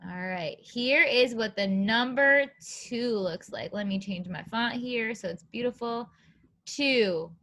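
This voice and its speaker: Female preschool teacher, high bright voice, sing-song and encouraging, reading aloud to little kids. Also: slow. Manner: animated, sing-song, encouraging, simple phrasing for young children. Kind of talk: children's read-aloud lesson with questions and counting.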